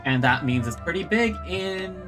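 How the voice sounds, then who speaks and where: A video game streamer giving casual, expressive live commentary and musing aloud while playing. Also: mid-range voice, normal speed, over music.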